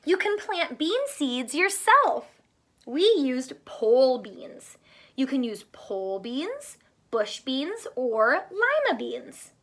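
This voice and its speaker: A woman teacher reading a picture book aloud to young children, pausing to explain simply and ask questions, drawing out words for emphasis. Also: high-pitched, sing-song, a bit bright.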